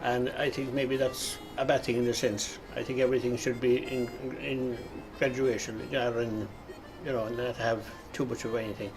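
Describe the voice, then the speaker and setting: A middle-aged man giving a reflective interview, pausing and searching for his words. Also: mid-range voice, level tone, over music, poor audio. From Ireland. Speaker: local interviewee, Irish speaker.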